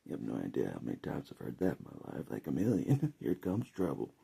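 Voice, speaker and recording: American male vlogger, very husky and gravelly, hugely animated pitch, rambling and jokey with frequent chuckles. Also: fairly quick. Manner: chuckles often, jokey, conversational. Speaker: vlogger giving political opinion. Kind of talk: casual rambling monologue to camera.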